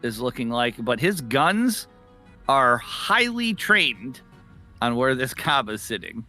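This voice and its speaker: A man's voice: mid-range voice, big pitch swings, slow, pushed and bright, soft background music. A man giving conversational live game commentary as an esports co-caster.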